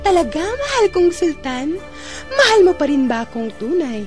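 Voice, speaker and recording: A woman's voice: very high voice, very animated, normal speed. A woman playing a vain, imperious, jealous sultana who haughtily orders servants about in a melodramatic drama style. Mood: coy, cloying. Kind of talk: melodramatic radio-drama acting. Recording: music behind.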